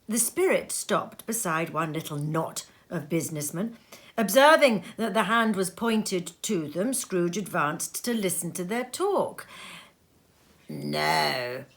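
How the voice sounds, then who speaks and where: Woman, storybook narrator, lively swooping intonation, slightly low pitch, expressive, home sitting-room reading. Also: unhurried. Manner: animated, theatrical character voices in dialogue.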